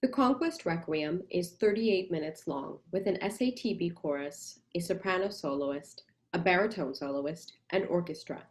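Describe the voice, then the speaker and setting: A young adult woman, a mezzo-soprano doctoral student, giving a formal lecture in a measured, presenting manner. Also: fairly low pitch. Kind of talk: lecture recital narration.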